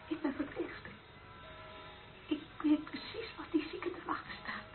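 A woman's voice: sits high, little pitch movement, moderate pace, music in the background, natural voice. A woman in a radio play acting an anguished, resolute young nurse who confesses a mortal sin. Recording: tinny old radio play recording.

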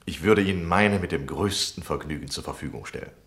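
Deep-voiced courteous gentleman, roomy sound. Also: fairly quick. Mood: eager, flattering. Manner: polite, smooth, deferential. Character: courteous, eager younger gentleman journalist, flattering would-be lodger. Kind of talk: 1960 German radio play acting.

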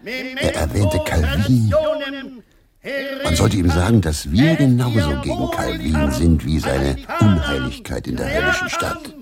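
A man's voice: very deep voice, wildly swooping pitch, unhurried, creaky, full character voice. An actor in a radio play, a man playing a commanding, wary English traveller on a royal mission. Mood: wary, indignant. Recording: loud music under the voice. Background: loud music.

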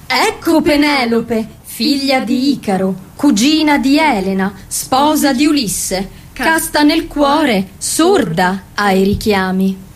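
An actress playing a solemn chorus figure from Greek myth, declaiming like an incantation in a radio drama. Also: female voice, sits high, lively intonation, slow pace, exaggerated voice. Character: solemn Greek chorus woman announcing and judging a queen.

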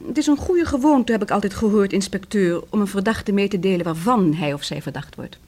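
Imperious radio-drama lady: husky, mid-pitched, icy, formal, defiant. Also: animated, brisk, darkish tone. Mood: challenging. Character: proud, defiant, haughty divorced woman. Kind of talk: radio play acting.

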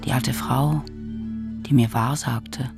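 Creaky, reflective narrator. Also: male voice, medium pitch, steady tone, takes it slowly, music in the background. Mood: wistful. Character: reflective narrator recalling a medieval girlhood. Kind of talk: scripted first-person narration in German radio drama.

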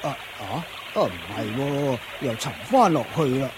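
A man playing a pompous, strict master of forest ascetics in a radio drama, lecturing with drawn-out grunts and fillers. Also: medium pitch, big pitch swings, background noise, lightly acted. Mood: startled. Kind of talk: Cantonese Buddhist radio drama acting.

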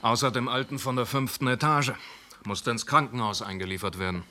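Actor as calm inspector, mid-pitched, measured and methodical, radio play. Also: male voice, lightly acted. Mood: reasoning. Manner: measured, reasoning. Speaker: police inspector. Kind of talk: radio drama acting.

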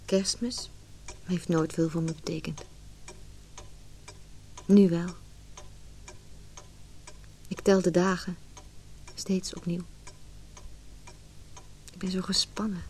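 A woman's voice: lowish voice, steady tone, slow pace, long pauses, a little mellow, slight background noise. An actress playing an anxious, lovelorn businesswoman, a married man's mistress, in an emotional Dutch radio play. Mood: brooding, tense. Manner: emotional, inner monologue and tense dialogue.

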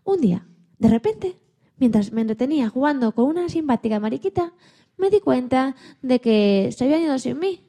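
A woman voicing a timid, hopeful young male dog who tells his own story in a children's radio play, expressive and a little halting. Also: sits high. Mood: wistful storytelling.

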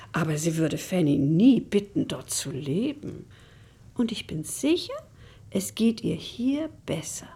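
An actress playing a languid, clingy middle-aged genteel wife and mother in a radio play, with a soft voice and a plaintive manner. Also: mid-range voice, husky. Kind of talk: German radio play acting.

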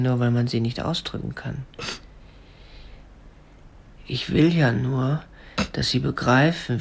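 Radio drama: a young, respectable petty-bourgeois obsessive, meek and restrained, mid-pitched, confessing earnestly. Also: male voice, vocal fry. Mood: earnest, pleading. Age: young adult. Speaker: actor and first-person narrator in a radio play. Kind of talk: radio drama narration and dialogue.

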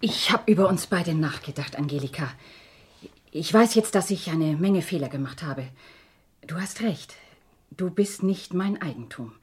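Low-pitched, earnest mother. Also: lively intonation, moderate pace, husky. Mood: remorseful. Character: anxious, insistent mother of a twelve-year-old girl. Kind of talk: German radio crime drama acting.

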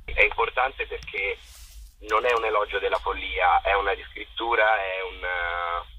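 A young man explaining his theatre work in an interview, haltingly, restating phrases as he searches for words. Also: deep voice, steady tone, pushed and bright, husky, poor audio. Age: young adult. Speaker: theatre maker being interviewed.

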